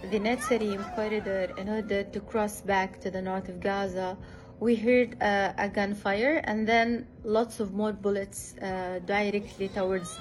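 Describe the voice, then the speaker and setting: A woman's voice: medium pitch, a little hiss or hum, poor audio. A woman field reporter giving an eyewitness account, hesitant and full of fillers.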